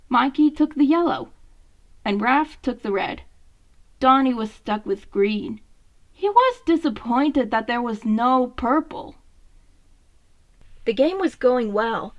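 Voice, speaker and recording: A woman's voice: high voice, highs cut off. A woman narrating a scripted story as a fan fiction reader.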